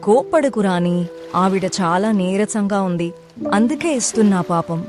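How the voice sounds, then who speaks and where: A woman voicing a kind, soft-hearted young village woman in a cartoon story, polite and soothing. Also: mid-range voice, animated, moderate pace, soft background music, lightly acted. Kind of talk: cartoon moral story dialogue.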